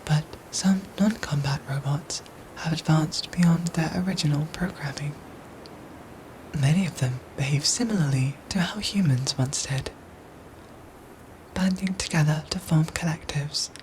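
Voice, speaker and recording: A woman's voice: deep voice, slight background noise, rough recording. Whispering female android soldier, acted by a woman. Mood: calm, explanatory. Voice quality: soft, breathy whisper. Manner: formal, precise, measured robotic phrasing. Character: protective android robot soldier, female, formal and caring. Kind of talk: whispered ASMR roleplay acting.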